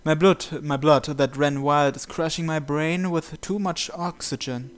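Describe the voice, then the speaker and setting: A man reading a visual novel's story text aloud as narration, in a measured, deliberate way. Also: a little high, fairly even intonation. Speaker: video game player reading the script aloud.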